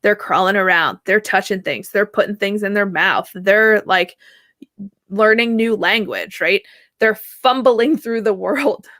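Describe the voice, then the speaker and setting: A woman in her thirties, a therapist guest answering at length in a casual, animated way, laughing easily and often checking in with "right?". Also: medium pitch, unhurried, no echo, studio quality. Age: 30s. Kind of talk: conversational interview answer.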